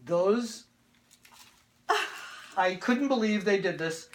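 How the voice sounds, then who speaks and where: A man presenting antiques in a casual, excited show-and-tell, chatty and quick to giggle. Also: high-pitched. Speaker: museum presenter showing antiques. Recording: clear background noise, clicking.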